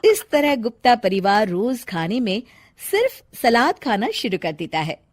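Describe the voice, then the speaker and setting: A woman's voice: a little high, big pitch swings, a little slow. A woman narrating a scripted comic story as a storyteller.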